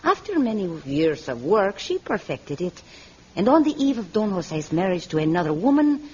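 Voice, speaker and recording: A woman playing a confiding jailer of a hidden city in a vintage radio adventure, husky and expressive. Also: medium pitch, normal speed. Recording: dull vintage radio recording.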